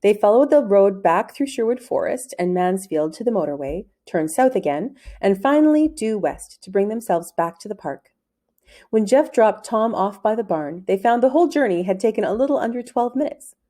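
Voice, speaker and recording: A woman reading a children's novel aloud as a storyteller, in measured, clearly phrased narration. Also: medium pitch, expressive, mellow.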